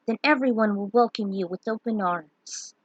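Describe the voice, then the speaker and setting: A woman's voice: medium pitch, normal speed, no echo, dull audio, close to an everyday voice. A woman voicing a kind, reassuring male warrior leader in a fantasy story.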